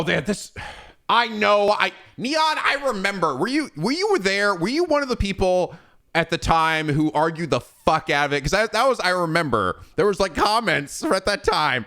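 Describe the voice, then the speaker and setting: A man's voice: sits high, animated, normal speed, pushed and bright. A man in his thirties chatting casually on a livestream, rambling and swearing freely with frequent laughs. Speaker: streamer.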